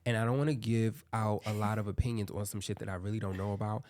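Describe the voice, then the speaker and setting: A man with a light voice co-hosting a podcast, chatting casually and telling stories in an animated, rambling way with frequent restarts. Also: on the low side, fast talker. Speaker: podcast co-host. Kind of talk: casual chat and storytelling.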